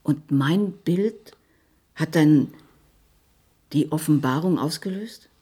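An actress playing a solemn, detached figure in a German radio play. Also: female voice, low voice, slow, warm tone, breathy edge, heavily acted. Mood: solemn, questioning.

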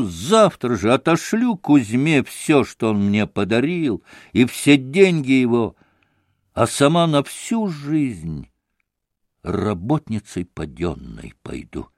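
A man's voice: mid-range voice, a little mellow, no echo, studio quality, pitched above the performer's own voice. A man reading an audiobook, voicing a hysterical young woman who swings between fury and tears.

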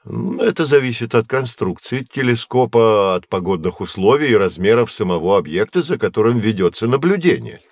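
A kind patient professor teaching kids in an audio play, careful explainer, close dry sound. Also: male voice, fairly low pitch, expressive, a little fast, few pauses, dry recording. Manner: didactic, carefully phrased, encouraging. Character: kindly patient male professor explaining science to children. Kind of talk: scripted educational audio drama, explanatory.

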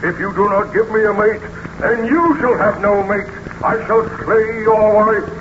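Wretched monster, 1930s radio melodrama, declamatory, bitter, pleading, menacing, muffled noisy recording. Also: male voice, sits high, a little slow. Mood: vengeful, menacing. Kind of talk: 1930s radio serial acting.

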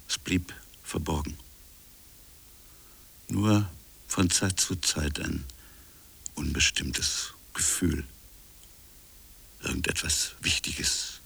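A man playing a reflective French colonial official in a literary radio drama, recalling a buried affair in musing, fragmentary phrases with a gravelly, husky voice. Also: low-pitched, a bit bright, heavily acted. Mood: brooding, reflective. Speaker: narrator and protagonist.

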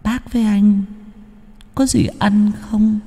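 A man's voice: high voice, fairly even intonation, vocal fry, warm tone, long reverb tail, pitched above the performer's own voice, heavily acted. A man voicing a hungry, lonely young female ghost who calls out eerily and wails. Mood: eerie. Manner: eerie, plaintive, drawn-out calling.

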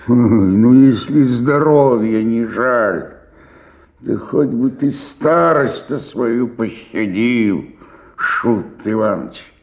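A man's voice: mid-range voice, animated, very slow, muffled recording, heavily acted. An older man acting a weary, hungover sixty-eight-year-old stage actor in a radio drama, groaning and muttering in self-pity. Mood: groaning, self-reproachful. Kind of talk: theatrical radio drama monologue.

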